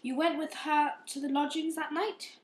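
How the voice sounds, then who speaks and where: A woman acting a stern, relentless police inspector in an amateur radio play, questioning in a measured, accusing manner. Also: high-pitched, steady tone, close to an everyday voice. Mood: cool, insistent. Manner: probing, measured, morally accusing. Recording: roomy, echoing amateur recording.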